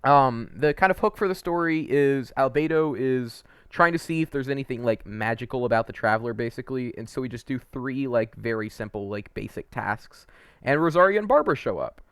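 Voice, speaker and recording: A man's voice: mid-range voice, expressive, a little fast, fairly bright tone, clear, resonant tone, dry recording, studio quality. A man giving casual, opinionated video game commentary as a host, conversational and a little hesitant, wandering into asides.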